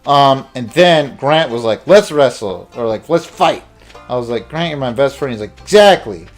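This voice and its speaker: A young man tells a rambling story in casual slang while he plays a game. His delivery is halting and his pitch sits mid-range. Game music plays softly behind him. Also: expressive. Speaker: let's play host. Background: video game music.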